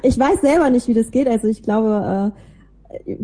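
A woman's voice: a little high, normal speed, dark tone. A woman being interviewed, chatting casually and in a self-deprecating way about her own life and work. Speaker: interview guest, podcaster and small creative agency owner.

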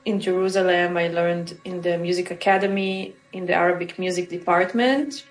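A woman's voice: on the low side, steady tone, moderate pace. A woman, answering interview questions thoughtfully and searching for her words. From Israel. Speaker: musician guest. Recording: roomy with faint music.

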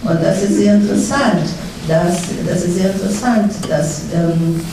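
A middle-aged woman from Russia speaking with a Russian accent, a guest author at a panel talk who pauses often with fillers and restarts. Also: medium pitch. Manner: halting, many fillers and restarts. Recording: echoey hall from far off, faint noise.

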